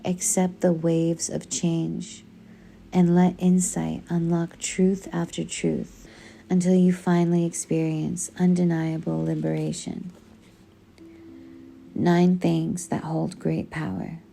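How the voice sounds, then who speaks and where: A woman reading a book aloud in a soothing audiobook style, with measured, deliberate and gentle delivery over music. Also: on the low side, flat delivery, creaky, slight background noise. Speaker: book reader. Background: background music.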